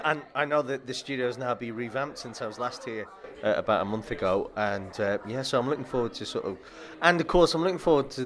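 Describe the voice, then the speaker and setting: A man, an actor, answering interview questions eagerly, heaping on praise and laughing easily. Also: mid-range voice.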